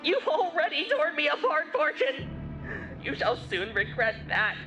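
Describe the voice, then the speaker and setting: A man's voice: very high-pitched, sing-song, measured pace, full character voice, low-quality recording. An actor playing a self-pitying, delusional male internet troll and grand wizard in a theatrical, whiny game performance. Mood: grandiose, defiant. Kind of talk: exaggerated video game cutscene acting. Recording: loud music behind the voice. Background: music.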